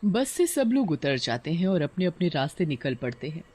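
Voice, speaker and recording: A man's voice: a little high, sing-song, moderate pace, darkish tone. A man narrating a scripted horror cartoon story as storyteller.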